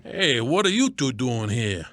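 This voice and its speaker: A man's voice: mid-range voice, big pitch swings, a little slow, fairly bright tone, no echo, clean studio sound. A man playing a blustery, wisecracking radio producer and disillusioned sports fan in a scripted comedy skit, with slangy, melodramatic talk. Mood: gruff wisecracking.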